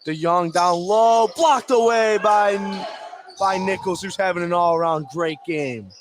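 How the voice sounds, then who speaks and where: A man's voice: high voice, a little slow. A man calling basketball play-by-play for radio in clipped running phrases. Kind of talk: live sports radio commentary. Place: indoor basketball gym. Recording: noisy gym ambience behind. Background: basketball bounces, gym noise.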